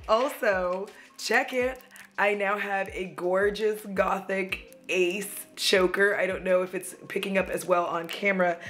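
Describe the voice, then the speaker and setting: A young woman, chatting casually while doing her makeup, somewhat hoarse from losing her voice, rambling and laughing often. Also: medium pitch, a bit bright. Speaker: beauty vlogger. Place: at home, indoors.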